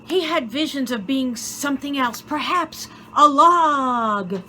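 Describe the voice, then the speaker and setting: A woman reading a children's story aloud in an expressive, theatrical way, with deliberate dramatic pauses. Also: high-pitched, slight background noise. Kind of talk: expressive scripted story narration.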